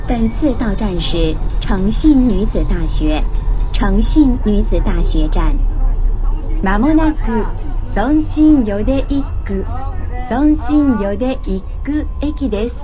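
A woman reading scripted station announcements on a train in a formal, deliberate manner. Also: animated. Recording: dull cheap-mic capture, noisy train. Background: train rumble and ambient noise.

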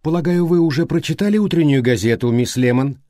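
Male narrator as courteous, inquisitive storybook detective, mellow, deliberate delivery, dry sound. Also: on the high side, expressive, no room sound, pitched above the performer's own voice, lightly acted. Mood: polite, inquisitive.

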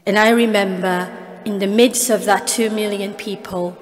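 A woman's voice: medium pitch, fairly even intonation, normal speed. A woman in her forties with a Filipino accent, Tagalog her first language, giving an earnest guest speech at an award ceremony, speaking into a podium microphone with small restarts along the way. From Philippines. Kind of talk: prepared speech with personal stories. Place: large cathedral. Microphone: podium microphone, far camera. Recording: long cathedral reverb, faint music.

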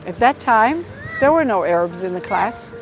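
A woman's voice: mid-range voice, expressive, moderate pace, low-quality recording. Israeli woman in her sixties, outdoors. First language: Hebrew. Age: 60s. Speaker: presenter. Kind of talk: on-location talk to camera. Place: outdoors in a large city square. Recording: clear background music.

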